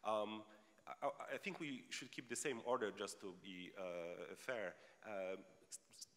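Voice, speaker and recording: A man moderating a panel, speaking in a halting, wordy way with repeated words. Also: fairly low pitch, steady tone, fairly bright tone. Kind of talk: panel moderation before an audience. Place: large conference hall. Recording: echoey hall with long reverb.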